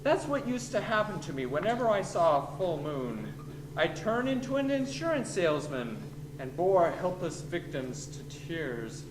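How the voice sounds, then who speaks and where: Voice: mid-range voice, big pitch swings, normal speed, lightly acted. An actor playing a grumpy, sardonic wereman on the witness stand, dry and impatient, in a radio comedy. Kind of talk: old time radio comedy acting. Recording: echoey room with clear noise.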